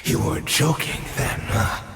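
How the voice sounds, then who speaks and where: A man playing a weary, wry, taunting old immortal swordmaster in a video game, gravelly and theatrical. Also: measured pace, heavy background noise, lightly acted. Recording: echoey hall, game music behind.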